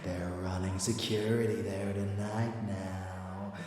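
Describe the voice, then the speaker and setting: A man's voice: low voice, level tone, takes it slowly, heavy reverb, big-room sound, recorded from a distance, music in the background. A man playing a seductive, predatory vampire lover in an ASMR audio drama, murmuring intimately and sometimes sinking to a whisper. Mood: seductive, scheming.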